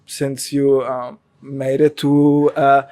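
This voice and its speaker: A man interviewing a guest, asking questions in a halting way with restarts. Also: medium pitch, level tone, dark tone. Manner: halting, stumbles over questions.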